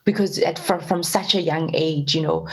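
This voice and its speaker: Low-voiced woman host asking questions. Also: normal speed, breathy edge, poor audio. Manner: encouraging, rambling questions. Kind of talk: conversational interview questions.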